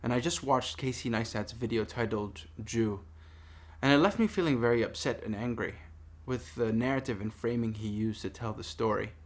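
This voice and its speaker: A man in his thirties from Palestine speaking in a personal monologue, earnest, deliberate and emphatic. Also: medium pitch. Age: 30s. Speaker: vlogger making a response video. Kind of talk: personal monologue addressed to camera.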